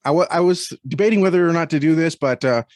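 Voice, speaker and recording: Man, fairly high pitch, dry close sound, measured and hesitant, reviewer in podcast discussion. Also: a little mellow, no echo. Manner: measured, hesitant, repeats words while choosing them. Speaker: guest critic and reviewer. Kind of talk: thoughtful podcast conversation.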